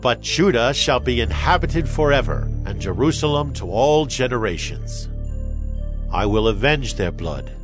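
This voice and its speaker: An actor playing a solemn Hebrew prophet in a dramatized scripture reading, declaiming with grave emphasis. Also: male voice, medium pitch, expressive, measured pace, close to an everyday voice. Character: solemn male Hebrew prophet proclaiming judgment and promise. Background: music.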